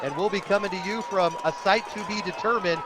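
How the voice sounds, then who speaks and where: A male American radio announcer calls a football game live with excited emphasis. His voice sits high for a man. A stadium crowd is clearly audible behind him. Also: a little fast, noisy background. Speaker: play-by-play sports announcer.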